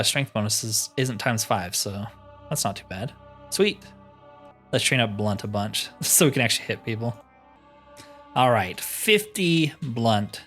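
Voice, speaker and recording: An animated man chats his way through a fantasy game in loose, slangy language. His pitch is on the low side and swings around freely, with music playing underneath. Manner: slangy, informal. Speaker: game streamer doing roleplay playthrough. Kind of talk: casual gameplay commentary.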